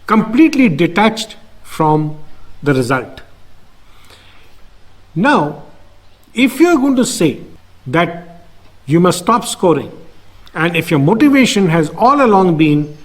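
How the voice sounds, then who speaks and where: High-pitched man, coaching emphatically. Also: big pitch swings, slow, long pauses. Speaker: shooting coach, host. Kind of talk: coaching talk and interview.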